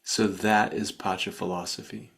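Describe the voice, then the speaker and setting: A man hosting a podcast interview, speaking conversationally and deliberately, in short phrases. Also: fairly low pitch, level tone, creaky, a bit bright.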